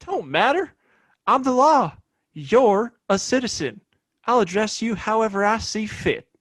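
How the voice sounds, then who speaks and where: Guy doing cocky bossy western sheriff, american, kinda higher voice, folksy, throws his weight around, audio play. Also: lively intonation, a little slow, fairly bright tone, dry recording, studio quality, heavily acted. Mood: threatening. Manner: smug, domineering, folksy drawling phrasing. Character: swaggering, menacing small-town Old West sheriff.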